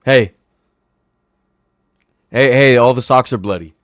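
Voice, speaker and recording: A young man with a raspy voice, hosting a casual late-night livestream from home and chatting playfully with viewers. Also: medium pitch, slow pace, dry recording, studio quality. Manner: animated, playful, talks to chat viewers. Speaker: livestream host.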